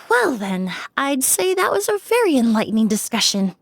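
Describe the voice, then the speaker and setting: Poised, analytical forensic cleaner. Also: female voice, high-pitched, very animated, vocal fry, exaggerated voice. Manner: measured, thoughtful, analytical. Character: calm, poised female forensic cleaner and perfumer. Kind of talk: video game voice acting.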